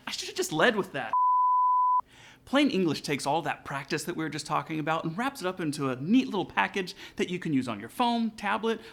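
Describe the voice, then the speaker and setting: A man with a fairly high, animated voice presents a scripted tutorial. He talks casually and directly to his audience, like a vlog host, with plenty of melodic movement in his delivery. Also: vocal fry, slight background noise. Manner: casual, direct address to viewers. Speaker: aviation vlog host, student pilot. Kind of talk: upbeat scripted tutorial narration with sponsor read.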